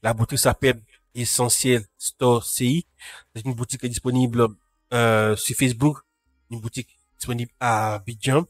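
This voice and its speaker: Man with a cold, flat delivery. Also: medium pitch, moderate pace, no echo. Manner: talks to live chat viewers, frequent restarts. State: has a cold. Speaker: tech news live streamer. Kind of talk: casual live tech news roundup.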